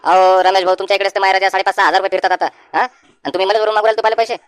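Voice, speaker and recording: A man's voice: high-pitched, little pitch movement, very fast, clean tone, no room sound, natural voice. A man voicing a folksy village grocer in a comic cartoon, with a rural Varhadi Marathi accent and a plain-spoken way of talking. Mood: exasperated. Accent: rural Maharashtra, Varhadi. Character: male village grocer, exasperated, distrustful creditor.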